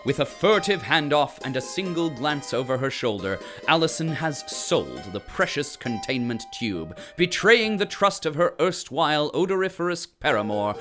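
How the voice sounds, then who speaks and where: A man's voice: mid-range voice, fairly bright tone. A man voicing a formal, wordy narrator in an audio drama, speaking in an ornate, mannered way.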